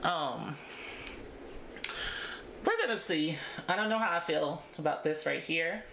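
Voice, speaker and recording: A woman in her thirties holds a casual storytime chat with her viewers as she braids her hair at home. Her voice sits a little low. She talks in loose, chatty runs and laughs often between her thoughts. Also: a little slow, gravelly, fairly bright tone, roomy sound, a little hiss or hum, poor audio. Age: 30s. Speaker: beauty vlogger.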